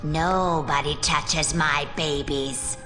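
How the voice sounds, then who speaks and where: A woman playing a criminally insane, gleefully menacing villainess in a video game cutscene, with a slow, theatrical, taunting delivery. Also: sits low, steady tone. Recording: long reverberant echo, faint music.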